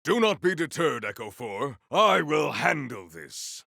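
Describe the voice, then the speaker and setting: An actor playing a formal, valiant, earnest warrior hero in a video game, with stately, theatrical diction. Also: male voice, fairly high pitch, big pitch swings, unhurried, vocal fry, pushed and bright, no room sound, studio quality, exaggerated voice. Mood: bold, commanding. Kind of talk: scripted video game character lines.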